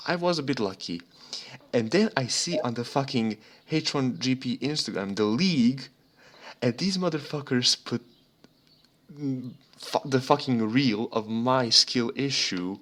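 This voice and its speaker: A man's voice: mid-range voice, animated, measured pace, fairly bright tone. A young man from Serbia chatting casually as a podcast co-host, rambling and swearing freely. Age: young adult. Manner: rambling, profane. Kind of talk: casual motorsport podcast chat. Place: remote voice chat.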